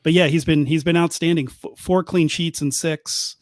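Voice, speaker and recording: Chatty man, fairly high voice. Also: steady tone, normal speed, no room sound, studio quality. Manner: chatty, restarts sentences, light laughs. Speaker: podcast co-host. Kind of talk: casual chat. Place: press box at the stadium.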